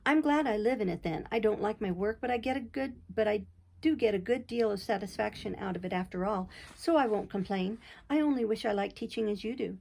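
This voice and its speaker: A woman's voice: mid-range voice, fairly quick, vocal fry, darkish tone. A woman voicing a modest, sweet-natured young governess in a storybook, with a bashful, sincere manner. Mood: heartfelt. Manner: bashful, innocent, hearty.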